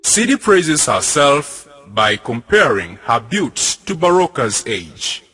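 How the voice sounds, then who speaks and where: A young man narrates a drama at a slow pace. His delivery is lively in pitch, with a bright, forceful tone. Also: slightly rough. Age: young adult. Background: music.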